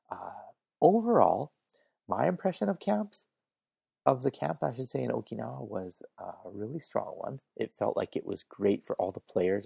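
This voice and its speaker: Hoarse man, podcast host, raspy husky voice, dark low tone, chatty and informal. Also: no room sound. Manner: chatty and informal, thinking aloud. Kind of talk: casual solo podcast monologue. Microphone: makeshift setup without proper recording gear.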